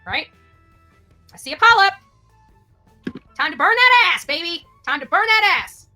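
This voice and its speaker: A woman's voice: extremely high pitch, big pitch swings, a little slow, sharp tone, faint music behind. A woman YouTuber talking off the cuff in a brash, mocking, repetitive way. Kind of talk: unscripted, taunting online monologue.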